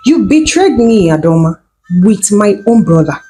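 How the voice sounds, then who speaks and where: A woman's voice: medium pitch, sing-song, moderate pace, warm tone, faint music behind, lightly acted. A woman voicing a betrayed, angry village husband with an accusing, wounded manner in a folktale. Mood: furious.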